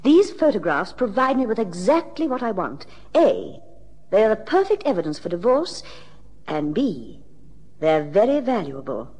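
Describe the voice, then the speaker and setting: A haughty, bitter society wife in a 1960s radio drama. She speaks precisely in a husky, expressive voice, coolly calculating. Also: a little high. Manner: precise, haughty, measured formal diction.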